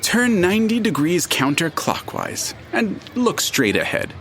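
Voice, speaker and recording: A man acting in an audio drama as a detached, formal narrator who speaks deliberately and matter-of-factly. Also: high voice, wildly swooping pitch, fairly bright tone, slight background noise, heavily acted. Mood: cool, formal.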